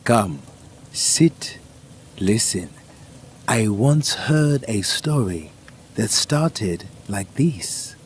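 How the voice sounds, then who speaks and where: Solemn storyteller, acted by a man, extremely slow, swooping lowish intonation, dramatic, inviting, faint ambience behind. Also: animated, a little hiss or hum. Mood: inviting, mysterious. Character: unhurried, mysterious old-time storyteller, inviting and solemn. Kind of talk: theatrical storytelling intro.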